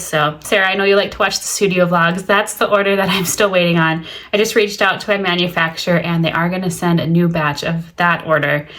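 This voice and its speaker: A woman's voice: fairly low pitch, a bit bright. A young woman with a slightly stuffy nose, chatting casually about her small craft business in a home studio vlog. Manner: chatty, friendly, lots of "so" and "like". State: a little stuffy from allergies. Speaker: small business owner vlogger.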